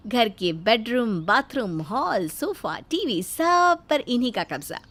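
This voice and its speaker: A woman's voice: a little high, big pitch swings, slow, a bit bright. A woman reading a scripted family story as its narrator, in a storytelling style.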